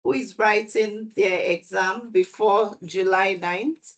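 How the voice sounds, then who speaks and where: A woman speaks slowly and deliberately as she hosts an online exam prep session. Her voice sits at a middle pitch, and her manner is welcoming and measured over a video call.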